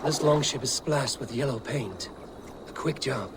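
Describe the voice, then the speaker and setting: An actor playing a focused Viking warrior investigator in a video game, speaking in a measured, deliberate way. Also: male voice, mid-range voice, close to an everyday voice. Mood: focused, brooding. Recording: game ambience noise behind the voice.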